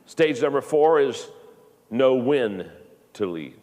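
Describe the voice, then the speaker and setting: A man in his fifties delivers a leadership lesson in a folksy, personal way. He often restarts his phrases, repeating a word before he gets going. His voice sits at an ordinary pitch and rings with a long hall reverb. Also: expressive, takes it slowly, darkish tone. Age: 50s. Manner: folksy, repeats words when starting a thought. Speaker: leadership speaker.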